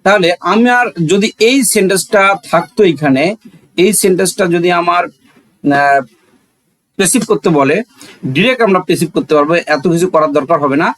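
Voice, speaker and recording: A man's voice: high voice, expressive, brisk, fairly bright tone, no echo, rough recording. A man with a Bangladeshi accent teaching in an explanatory, didactic way, asking rhetorical questions and repeating key phrases.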